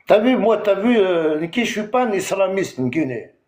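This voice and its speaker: Man, TikTok live host, confrontational rant, lots of hesitations, higher voice, roomy echo. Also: expressive, moderate pace, a little mellow. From Algeria. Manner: confrontational, repetitive, full of hesitations. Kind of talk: heated live-stream rant.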